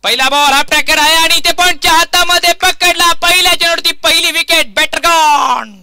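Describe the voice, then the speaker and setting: A man's voice: very high voice, a little fast, sharp tone, dry recording. A man with a Maharashtrian accent giving live play-by-play cricket commentary in grandiose, dramatic phrases with repeated stock lines.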